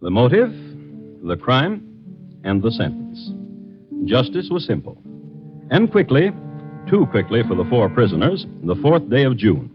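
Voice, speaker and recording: A man narrating a radio story in a wry manner, with dramatic pauses. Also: mid-range voice, big pitch swings, over music. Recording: dull, lo-fi old radio recording.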